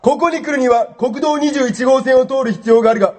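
A rowdy, boastful gang villain, played by a man, in a very high, piercing voice. He talks loud and cocky, full of swagger, as if nobody could stop him. It's a homemade radio drama. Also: quick pace. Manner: loud, cocky, breaks into laughter on flubs. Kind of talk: amateur radio drama acting.

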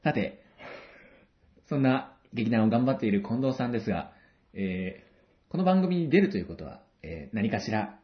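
Deep-voiced man hosting, lively intonation. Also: normal speed. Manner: polite, encouraging. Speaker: radio show host. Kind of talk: advice-corner interview with attentive backchannels. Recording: dull lo-fi audio.

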